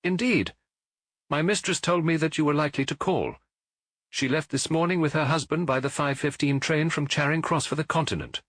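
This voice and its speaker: Elderly housekeeper type, played by a man: sardonic, clipped formality, lifted pitch, dry audiobook sound. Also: steady tone, a little fast, a bit bright, no room sound. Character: sardonic elderly female servant. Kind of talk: audiobook character acting.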